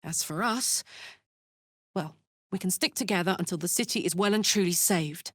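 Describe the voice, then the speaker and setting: A woman's voice: on the low side, moderate pace, fairly bright tone, breathy edge, no room sound, clean studio sound. An actress playing a brash, big-hearted tiefling barbarian woman in a video game, blunt and swaggering in manner. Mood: matter-of-fact. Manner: blunt, slangy, swearing, swaggering.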